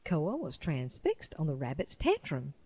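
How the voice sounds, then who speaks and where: A woman's voice: deep voice, wildly swooping pitch, unhurried, darkish tone, breathy edge. A woman voice-over artist reading scripted narration as an audiobook and demo narrator.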